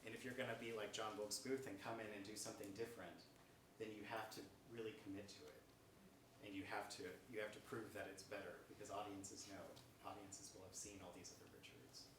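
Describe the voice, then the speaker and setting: A man moderating a public theatre question-and-answer session, phrasing his thoughts haltingly and thoughtfully. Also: lowish voice, little pitch movement. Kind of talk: public Q&A discussion. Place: stage of a mid-sized theatre auditorium. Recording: echoey theatre, distant mic, noisy.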